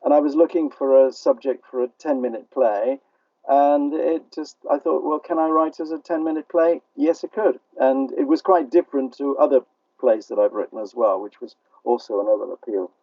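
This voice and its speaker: A man's voice: medium pitch, normal speed, no room sound. An older man with a British accent answering interview questions conversationally as a playwright, a little hesitant, over a remote call line. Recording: dull, band-limited call line.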